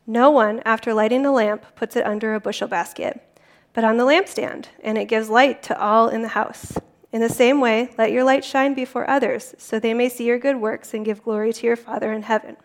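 A woman's voice: a little high, fairly even intonation, a little fast. A woman reading scripture aloud from a prepared text. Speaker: volunteer scripture reader.

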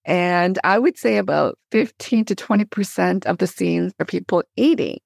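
A woman's voice: on the low side, big pitch swings, normal speed, gravelly, a little mellow, no echo, clean studio sound. A middle-aged woman from Korea speaking enthusiastically as a guest in a conversational podcast interview. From born in Korea, immigrated in 1975. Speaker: podcast guest, theologian and teacher.

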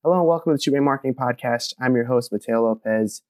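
A man's voice: mid-range voice, fast talker, darkish tone, no echo. A man hosting a marketing podcast, welcoming listeners and interviewing a guest in an upbeat manner. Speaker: podcast host.

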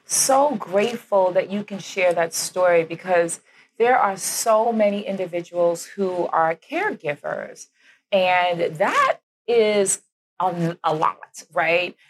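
An enthusiastic woman hosting a podcast, her voice sitting a little low. She is effusive and quick to affirm, and she often circles back to repeat her own phrases while asking questions. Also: lively intonation, poor audio. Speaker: podcast host. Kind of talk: warm-up intro and interview questions.

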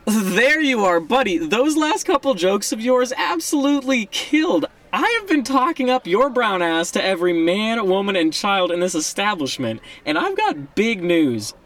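An excitable, foul-mouthed showbiz manager acted by a man in a radio play, pitched high and swinging widely. He gushes with emphatic, salesman-like zeal and sits a bit away from the mic. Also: normal speed, few pauses, far from the mic. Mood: excited, boasting. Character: hyped, fast-talking, foul-mouthed male comedy manager buddy. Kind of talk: audio drama acting.

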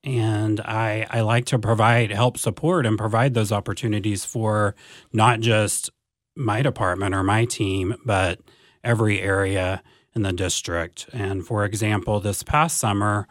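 Slow, measured man in his forties. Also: fairly low pitch, fairly even intonation, dry recording, studio quality. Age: 40s. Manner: slow, measured, with many ums and restarts. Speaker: district advanced academics coordinator. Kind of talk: podcast interview guest.

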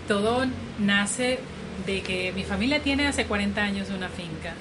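A woman from Ecuador telling her story as an interview guest, in a slow, relaxed way. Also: mid-range voice. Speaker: interview guest, coffee farmer. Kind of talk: conversational interview. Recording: noisy background ambience.

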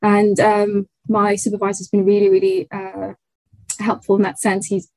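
A young woman from Tanzania, a research fellow, answering interview questions in a thoughtful way, often pausing with fillers and restarting sentences. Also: medium pitch, completely flat delivery, darkish tone, no echo, poor audio. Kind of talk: conversational interview.

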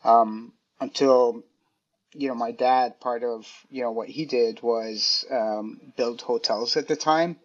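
A man answering interview questions at an ordinary middle pitch. He talks in a hesitant way, full of fillers and restarts. The sound is a little dull and lo-fi, like audio from a call. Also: steady tone, slow pace. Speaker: guest, design director.

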